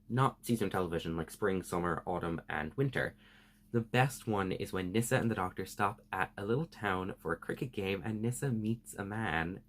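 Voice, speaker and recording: A man hosting a semi-scripted explainer vlog, speaking in an earnest, emphatic and enthusiastic way. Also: fairly low pitch. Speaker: video host.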